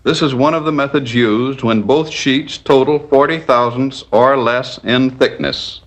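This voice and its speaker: A man narrating a scripted 1940s instructional film in a measured, didactic, step-by-step manner. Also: mid-range voice, fairly even intonation.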